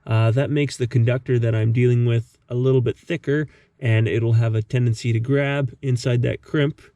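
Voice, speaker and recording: A man's voice: mid-range voice, fairly even intonation, normal speed, mellow, dry recording, studio quality. A man narrating a casual hands-on DIY tutorial in a methodical, explanatory way. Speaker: host of a DIY car repair tutorial.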